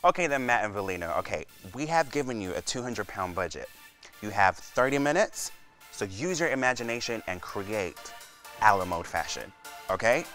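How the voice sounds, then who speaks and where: A man's voice: on the low side, lively intonation, moderate pace, a bit bright, soft background music. A young man hosting a fashion TV show in a studio, chatty and upbeat with guests. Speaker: host. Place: TV studio set.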